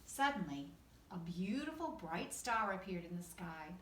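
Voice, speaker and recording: Animated woman reading a storybook in an echoey room. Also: lowish voice, measured pace. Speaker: pre-kindergarten teacher reading aloud. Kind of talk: expressive children's storybook read-aloud. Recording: echoey room, distant mic, faint noise.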